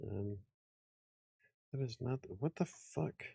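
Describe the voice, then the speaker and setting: A man hosting a casual after-hours chat show, rambling, laughing often and swearing now and then, with a slightly raspy voice. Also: medium pitch, slow pace, warm tone, dry recording. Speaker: host.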